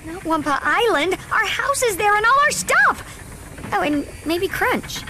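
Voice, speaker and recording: A woman's voice: very high-pitched, very animated, measured pace, background noise, full character voice. A woman voicing a perky, brainy young bandicoot girl, a plucky little sister in a cartoon video game, with a peppy girlish delivery. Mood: dismayed.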